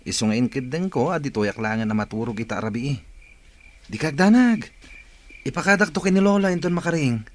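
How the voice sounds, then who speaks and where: A man's voice: mid-range voice, lively intonation, fairly quick, a little hiss or hum, natural voice. A man acting a sly, hesitant young grandson who wheedles and cajoles in a radio drama. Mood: evasive.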